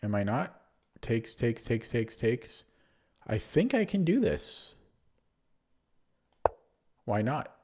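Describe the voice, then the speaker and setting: A man's voice: medium pitch, sing-song, slow pace, pauses often, a little mellow, husky. A man streaming online chess, thinking aloud through his moves with a hesitant, self-deprecating manner and frequent fillers. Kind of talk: live game commentary.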